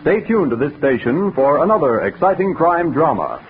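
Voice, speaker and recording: A male announcer in classic 1940s radio style, with a fairly high voice. He reads his script with big, lively swings of pitch. The old recording is muffled. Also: moderate pace. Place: radio studio.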